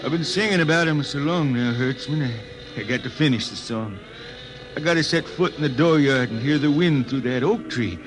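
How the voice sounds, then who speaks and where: A man's voice: medium pitch, normal speed, lightly acted. An actor playing an aging, stubborn, homesick spaceman with folksy rural diction, in 1950s radio drama style. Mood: wistful. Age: older. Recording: noisy vintage 1950 radio recording.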